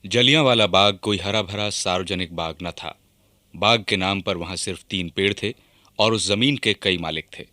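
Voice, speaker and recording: A man narrating a scripted historical radio drama in a formal, measured manner. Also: sits low, sharp tone, dry recording.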